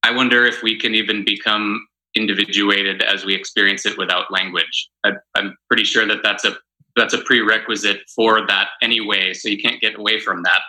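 A man's voice: lowish voice, steady tone, brisk, pushed and bright, low-quality recording. A man in a casual group discussion who lays out his points analytically, step by step.

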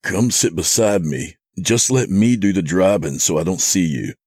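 A man acts out an anguished man in a horror story. He sounds frightened and urgent, his husky voice lifted high as he pleads. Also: expressive, normal speed, darkish tone, no echo, clean studio sound. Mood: tense. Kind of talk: audio horror story character acting.

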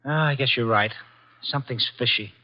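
An actor playing a brisk, tough, wisecracking detective's assistant in a 1950s radio drama, with a punchy, wry, colloquial delivery. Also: male voice, mid-range voice, lightly acted. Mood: wry, eager. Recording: dull 1950s radio recording with music.

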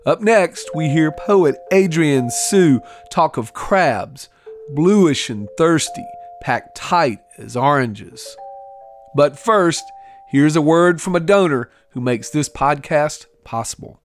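A man's voice: fairly high pitch, animated, takes it slowly, faint music behind. A man announcing in scripted podcast narration.

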